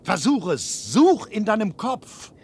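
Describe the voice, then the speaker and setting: Urgent, high-voiced son. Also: male voice, very animated, slow pace, a little mellow, slightly rough, heavily acted. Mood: earnest, insistent. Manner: urgent, imploring, repeats phrases. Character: earnest, desperate younger man pleading with his father. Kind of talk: German radio play acting. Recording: noisy old radio play with crowd.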